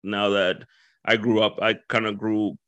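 A man's voice: on the low side, flat delivery, measured pace, fairly bright tone, clear, resonant tone, dry recording, dull audio. A man from the Dominican Republic hosting a casual interview, talking in loose run-on thoughts and acting out quoted voices. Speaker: podcast host.

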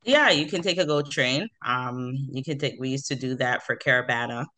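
A woman's voice: a bass voice, moderate pace, sharp tone. A woman from Toronto, a hairstylist chatting as an interview guest, with many fillers and easy laughs. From Toronto, Canada. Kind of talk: casual interview chat.

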